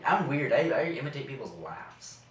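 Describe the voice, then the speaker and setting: A young man co-hosting a podcast, talking casually in a halting, rambling way. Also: medium pitch, very animated. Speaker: podcast co-host. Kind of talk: casual chat. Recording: roomy, distant mic, faint room noise.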